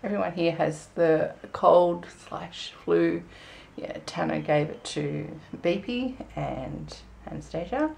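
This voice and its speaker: A young woman chatting casually to camera in a vlog, croaky from a sore throat and rambling in a self-amused way. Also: on the low side, slow, a little mellow. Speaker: vlogger.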